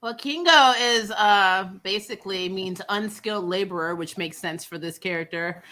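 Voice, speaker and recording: Bright-voiced woman hosting a live stream. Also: mid-range voice, moderate pace. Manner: laughs easily, reads viewer comments aloud. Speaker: podcast host. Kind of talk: casual live-stream chat. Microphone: home streaming setup.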